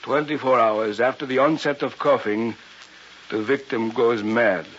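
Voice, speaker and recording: A man's voice: mid-range voice, fairly even intonation, measured pace, a bit bright, natural voice. Guy playing a stern formal doctor in an old radio play, theatrical, muffled hissy sound. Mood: grave. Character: secretive doctor, commanding host of an island weekend. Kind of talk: old-time radio drama acting.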